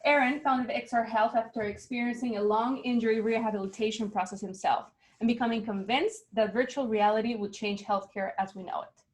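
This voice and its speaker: A woman hosts an online session in a friendly way, often pausing on fillers. Her voice sits a little high. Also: steady tone. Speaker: moderator. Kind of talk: event moderation.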